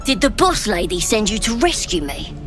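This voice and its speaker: An actress playing a cocky, zealous goblin prisoner in a video game, wheedling and boasting in colloquial speech. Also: female voice, medium pitch, very animated, normal speed, close to an everyday voice. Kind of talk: video game character acting. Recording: music playing behind.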